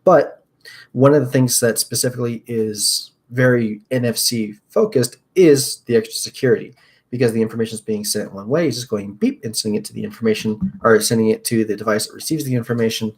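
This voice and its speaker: A man's voice: mid-range voice, fairly quick, slightly rough. Chatty young man hosting tech news. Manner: rambling, upbeat, frequent fillers. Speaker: host. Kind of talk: casual tech news livestream. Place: small room at a desk.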